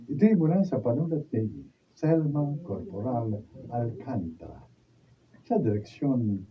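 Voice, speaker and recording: A man reading scripted narration as a radio drama announcer, measured and declamatory. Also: medium pitch. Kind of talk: scripted narration, closing credits. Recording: distant, muffled, faintly noisy.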